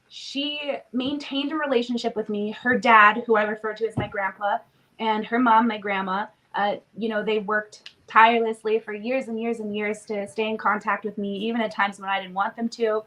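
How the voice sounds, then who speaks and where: A woman's voice: fairly high pitch, a bit bright, low-quality recording. Sniffly young woman, chatty podcast guest. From born in Romania, raised in Canada. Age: 20s. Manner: chatty, many "like" and "um" fillers. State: sniffly from a cold. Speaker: interview guest. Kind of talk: casual podcast interview.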